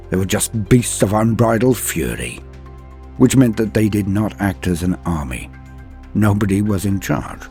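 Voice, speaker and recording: Male narrator, lowish husky voice, highly varied intonation, solemn theatrical war storytelling, weighty pauses. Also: darkish tone. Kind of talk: dramatic scripted war-fantasy narration.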